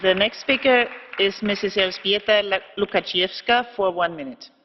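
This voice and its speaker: A man's voice: sits high, moderate pace, a little hiss or hum. A man chairing a parliamentary debate, politely announcing speakers in a procedural way. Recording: muffled feed with long chamber reverb.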